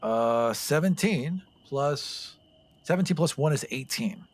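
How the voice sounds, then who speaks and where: A man playing a tabletop game, chatting casually and improvising story in an expressive way. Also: on the high side, takes it slowly.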